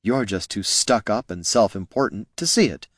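A male narrator plays a sharp young sorceress in a fantasy audiobook. She is proud and combative, with brash, cutting delivery that swings in pitch. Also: sing-song, normal speed, dry recording, studio quality.

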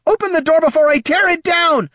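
A man's voice: extremely high pitch, moderate pace, sharp tone, no room sound, studio quality, voice pushed up. A man voicing a fierce, harried female gnoll mother who snarls and barks orders. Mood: frantic.

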